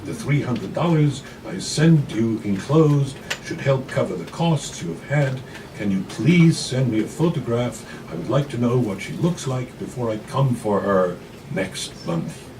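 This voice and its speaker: A man's voice: mid-range voice, lively intonation, measured pace. A man voicing an earnest, hopeful immigrant mother as she reads out her heartfelt letter in a live story reading. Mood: eager. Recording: noisy live room, distant mic.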